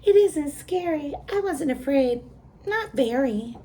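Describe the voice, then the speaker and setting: A woman voicing a small nervous farm goat in a storybook, high and loud. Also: animated, takes it slowly, poor audio. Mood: brave. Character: pleading little farm goat, nervous then brave.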